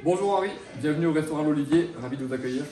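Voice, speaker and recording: A man's voice: fairly high pitch, very fast, mellow. A man in his thirties, a chef, answering interview questions with lots of hesitation and restarts. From France. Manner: hesitant, many fillers and restarts. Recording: roomy echo, mic at a distance.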